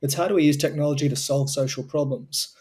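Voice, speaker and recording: A man's voice: a little high, steady tone, fast talker, a little mellow, slightly rough. A young Australian man, a guest in an interview, explaining his work earnestly and fluently. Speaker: guest, co-founder of a tech charity. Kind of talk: remote interview answers.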